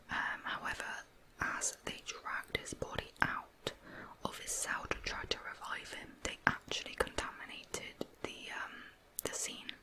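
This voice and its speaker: A woman speaks entirely in a breathy whisper, narrating true crime for ASMR. Her delivery is soft and unhurried, explaining details step by step. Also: low-quality recording.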